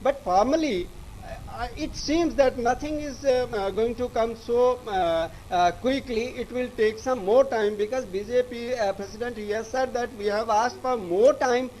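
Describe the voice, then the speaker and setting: A man with an Indian English accent giving a live news report in a halting, repetitive way. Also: very high voice, darkish tone, slight background noise, rough recording. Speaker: news correspondent.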